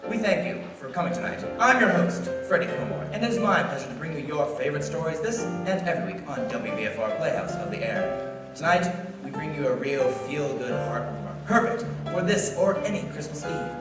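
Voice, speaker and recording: A showy old-time radio host played by a man speaks from far off in a very reverberant hall, with loud music around him. He announces theatrically, in a lively, highish voice. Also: brisk, natural voice. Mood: showy, welcoming. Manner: theatrical, declamatory. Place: stage in a hall before an audience.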